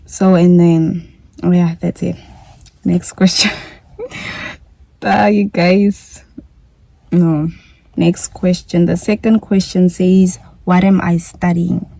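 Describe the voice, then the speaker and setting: A woman's voice: fairly low pitch, little pitch movement, slow pace, vocal fry, long pauses, dark tone, no room sound. A young woman from Namibia with a Namibian English accent, chatting casually in a vlog Q&A and repeating herself often. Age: young adult. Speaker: YouTuber.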